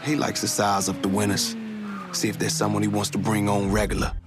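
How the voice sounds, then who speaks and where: A man playing a chatty, excitable passenger in a crime video game. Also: on the low side, brisk, noisy background, natural voice. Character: talkative nervy male passenger in crime game. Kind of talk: naturalistic video game acting. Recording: loud music and car engine behind.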